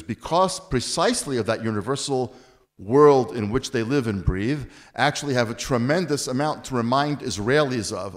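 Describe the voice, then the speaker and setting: Earnest American man on a panel. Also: medium pitch. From Baltimore, USA. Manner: earnest, with dry self-deprecating asides. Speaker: author and panel speaker. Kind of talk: panel discussion answer.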